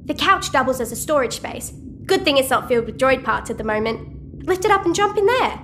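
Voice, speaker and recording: High-voiced, blunt, chatty girl. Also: animated, a little fast, noisy background. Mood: casual, frank. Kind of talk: audio drama acting.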